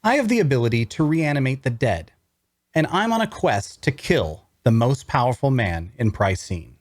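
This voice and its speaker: A man narrates a fantasy tale in the first person with theatrical expression. His medium-pitched voice moves widely up and down, and the recording is very dry. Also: sing-song, moderate pace, no echo. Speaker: narrator, voice actor.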